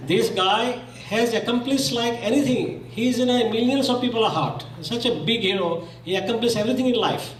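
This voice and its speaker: A man's voice: very high voice, fairly quick, hardly any pauses, slight background noise. A man from Zambia whose first language is Gujarati, giving an earnest memorial speech and restarting his phrases as he goes. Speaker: speaker at a memorial meeting. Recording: echoey hall, mic from far off.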